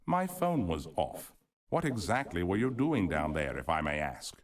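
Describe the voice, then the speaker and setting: An evasive, smooth museum collector. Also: male voice, moderate pace. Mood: cool. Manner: polite, evasive, lively pitch. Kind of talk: video game voice acting.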